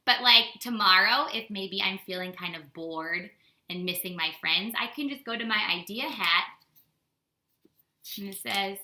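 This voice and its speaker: Bright, echoey American woman teaching kids. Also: mid-range voice, unhurried. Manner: animated, simple wording with rhetorical questions. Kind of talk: casual storytime lesson for young children. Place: indoors at home. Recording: roomy, echoey indoor home recording.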